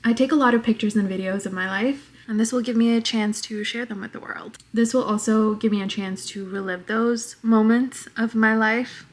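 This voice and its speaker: Soft-voiced woman in her twenties. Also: a little high, steady tone, normal speed, few pauses. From New York, United States. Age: 20s. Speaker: vlogger. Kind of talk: casual vlog monologue. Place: her apartment at home.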